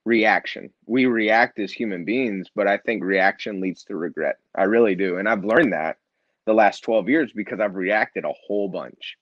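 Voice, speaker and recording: A man's voice: lowish voice, normal speed, no echo, muffled recording. A man being interviewed about his nonprofit and his book, talking in an earnest, reflective way with frequent restarts. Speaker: nonprofit founder and author, guest. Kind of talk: conversational interview.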